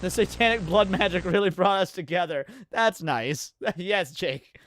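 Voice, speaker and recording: A man's voice: high-pitched, unhurried, fairly bright tone, no room sound. A man hosting a livestream, giving casual, profane reaction commentary with muttered asides and some reading aloud. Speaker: livestreamer host. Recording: music behind the voice.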